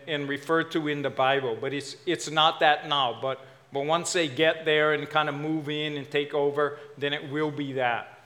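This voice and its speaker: Slow-speaking Hawaiian man preaching. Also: fairly high pitch, a bit bright. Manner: casual, self-deprecating, halting with fillers. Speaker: guest preacher. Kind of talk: sermon.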